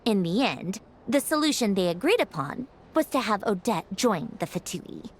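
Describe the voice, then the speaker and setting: A woman playing a composed, analytical young woman in a video game, frank and measured. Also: mid-range voice, sing-song, breathy edge. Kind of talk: video game dialogue acting. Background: game music.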